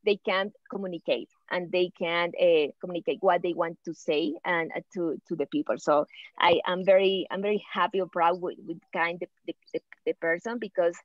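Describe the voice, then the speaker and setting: A middle-aged woman from Colombia, a native Spanish speaker with a Colombian accent, speaking halting English as a guest on a video call, with many restarts. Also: lowish voice, steady tone, no room sound. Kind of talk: casual talk on a video call. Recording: dull, lo-fi call audio.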